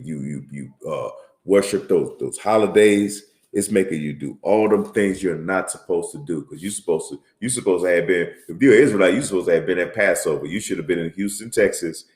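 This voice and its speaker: A man teaching from the Bible in a casual, repetitive way, often tagging lines with "man" and reading scripture aloud in a raised, slower voice. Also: sits low, reverberant. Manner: tags lines with "man", repeats himself. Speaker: religious teacher. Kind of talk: informal Bible teaching and commentary.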